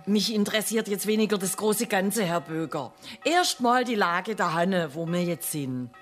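A woman's voice: medium pitch, lively intonation, normal speed, soft background music, close to an everyday voice. An actress playing a blunt, no-nonsense Swabian woman in a radio drama. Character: brisk, no-nonsense Swabian woman leading a visit.

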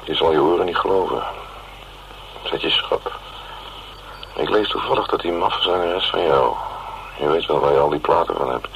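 An actor playing a gruff, sardonic male investigator who dictates notes to his secretary in a wry, grumbling way, in a radio drama. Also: normal speed, long pauses, highs cut off. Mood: teasing, boastful. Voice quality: very deep, gravelly. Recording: slightly noisy radio drama recording.

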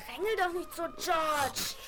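A woman's voice: very high voice, takes it slowly, very noisy. A woman playing a teasing, cheeky young girl in a radio play. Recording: 1970s radio play, loud music behind.

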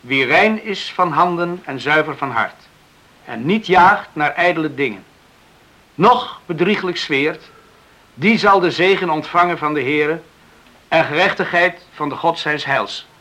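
An actor playing a plain, devout, earnest young farmhand in a radio drama. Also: male voice, a little high, unhurried, sharp tone, rough recording. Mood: reverent.

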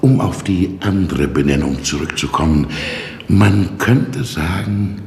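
An actor playing a dry, mocking male jailer in a 1960s radio drama, ironic and unhurried. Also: low voice, gravelly, darkish tone, roomy sound, in a large hall, a little hiss or hum.

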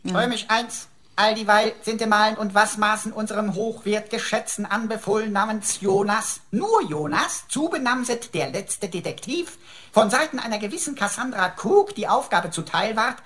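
Fussy jealous computer, high voice, wildly varied melody, florid declamation, sci-fi radio play. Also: male voice, expressive, normal speed, fairly bright tone. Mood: pompous, ceremonious. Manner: pompous, florid mock-preacher declamation. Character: pompous, jealous male personal computer, long-winded and theatrical. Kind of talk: German sci-fi radio drama acting.

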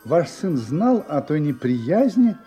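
An actor playing a shrewd, ironically polite detective in an audio drama, questioning briskly with wry murmurs. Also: male voice, a little high, sing-song, warm tone, faint music behind. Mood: polite, probing. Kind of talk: Russian audio drama acting.

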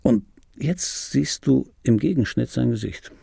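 An actor playing an ageing, wry and obsessive dubbing artist in an intimate radio drama monologue, speaking deliberately with pauses and repeated phrases in a gravelly, husky voice. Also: male voice, mid-range voice, expressive, dark tone, no echo, clean studio sound, heavily acted. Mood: wry, reminiscing. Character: ageing male dubbing actor recalling his screen star, wry, obsessive. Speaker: narrator of a radio play.

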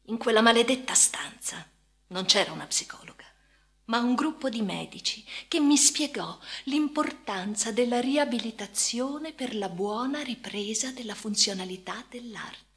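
A woman's voice: on the high side, animated, normal speed. An actress playing a haunted woman patient in a first-person radio drama monologue, speaking intimately and often dropping toward a whisper. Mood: haunted, recollecting. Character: haunted woman patient recounting institutional life. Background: music.

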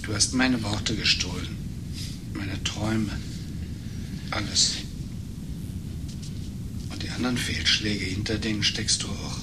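An actor playing an agitated, embittered failed writer in a German radio play, speaking haltingly and accusingly. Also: male voice, lowish voice, steady tone. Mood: wounded, furious. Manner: halting, emotionally wrought, accusing. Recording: noisy 1980s radio play recording.

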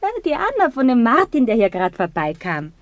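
A woman's voice: a little high, huge pitch swings, a little fast, no room sound, muffled recording. An actress playing a naive, gossipy woman of the street in a radio drama, breezy and colloquial.

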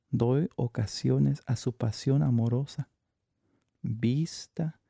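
A man performing a theatrical verse monologue as a jealous, lovestruck noblewoman from classical comedy, in measured, declamatory phrases. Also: mid-range voice, vocal fry, warm tone, dry recording. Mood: conflicted, jealous.

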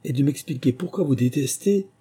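A man's voice: medium pitch, expressive, a little fast, mellow, breathy edge, pitched above the performer's own voice. A man voicing a polite, naive little girl in a storybook, earnest and eager to please. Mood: curious. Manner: earnest, conciliatory.

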